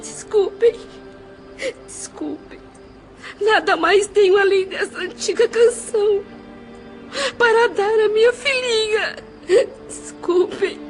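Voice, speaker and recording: Tearful ghost mom, high soft voice, super tender, audio play w music behind. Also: female voice, slow, pauses often. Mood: weeping apology. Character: tender, tearful mother spirit lulling her daughter. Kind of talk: audio drama acting.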